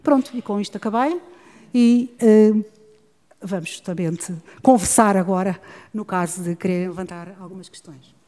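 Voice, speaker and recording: A middle-aged woman with a European Portuguese accent, giving a measured, academic talk on a book about education. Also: medium pitch, mellow, a little hiss or hum. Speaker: speaker presenting a book on education. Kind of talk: formal talk followed by discussion. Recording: long reverb of a hall.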